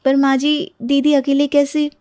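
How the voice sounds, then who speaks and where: A woman puts on a kind young sister-in-law for a moral story. The voice is lifted high and dry, respectful yet indignant and bold. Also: monotone, unhurried, a little mellow, no echo. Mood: hesitant. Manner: respectful, concerned. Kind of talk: Hindi moral story voice acting.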